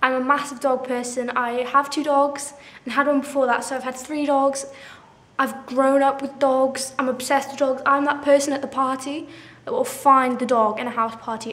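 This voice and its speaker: A woman's voice: high voice, fairly bright tone, roomy sound. A seventeen-year-old girl chatting casually through a question-and-answer video, bubbly and full of "like", laughing at herself. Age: 17, teens. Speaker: vlogger. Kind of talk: casual Q&A chat to camera.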